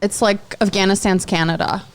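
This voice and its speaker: A woman's voice: mid-range voice, steady tone, moderate pace. A young woman from Tajikistan, whose first language is Dari, chatting as a podcast guest and telling stories frankly, packed with "like" fillers. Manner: rambling, full of "like" fillers, frank storytelling. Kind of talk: casual chat.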